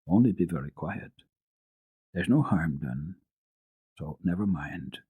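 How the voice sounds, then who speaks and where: Storybook doctor played by a man: kindly, soothing, measured, slightly low and husky. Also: expressive, no echo, phone-quality sound, exaggerated voice. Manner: gentle, measured, reassuring questioning. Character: kindly male family doctor, calm, soothing, quietly probing.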